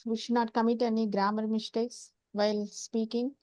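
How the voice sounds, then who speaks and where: A woman student slowly and hesitantly listing what she learned in class. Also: fairly high pitch, level tone, warm tone, no room sound. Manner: slow, hesitant, listing points.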